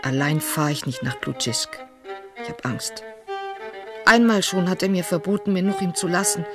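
An actress playing an anxious, stubborn middle-aged mother and wife in a radio play, earnest and insistent, with a husky voice. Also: lowish voice, normal speed, music in the background, close to an everyday voice. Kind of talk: literary radio play acting.

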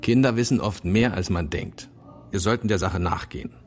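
An actor playing a fatherly senior police man in a German radio play, measured, probing and authoritative. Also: lowish voice, lightly acted. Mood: calm, probing.

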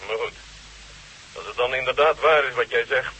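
An actor playing a jovial, shrewd Soviet marshal in a radio drama, with a husky voice and a bantering, courteous manner. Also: male voice, medium pitch, moderate pace, sharp tone, a little hiss or hum, natural voice, poor audio. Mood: brisk, businesslike.

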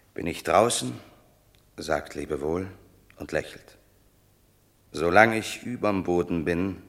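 An actor playing a proud, scornful banished Roman warrior in a radio drama of classical verse, with a declamatory, measured, grand delivery. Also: male voice, low-pitched.

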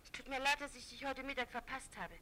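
An actress in a radio drama playing a friendly woman who speaks in a gently coaxing manner. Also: sits high, level tone, fast. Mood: friendly, apologetic. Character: friendly, coaxing divorced woman phoning a close acquaintance, Eve. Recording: roomy, echoing sound.

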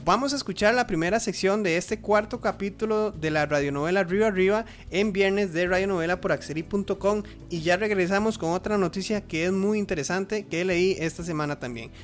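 Casting: Costa Rican man, lightly high voice, informal halting radio host over faint music. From Costa Rica. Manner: halting, repeats words while searching.

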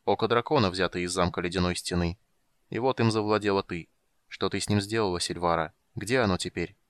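An ancient male wizard, grave and measured, slipping between sternness and forgetful kindness, read by a man narrating the book. The voice sits low and plain, recorded close and dry with no room around it. It unhurriedly weighs every word. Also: fairly even intonation, clear, resonant tone, no echo, natural voice. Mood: weary.

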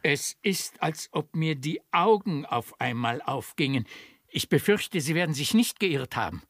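An actor playing a courteous, anxious master of a country household in a classical German radio comedy, speaking formally and emphatically. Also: male voice, a little high, very animated, measured pace, a bit bright, dry recording, studio quality, exaggerated voice. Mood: alarmed, earnest.

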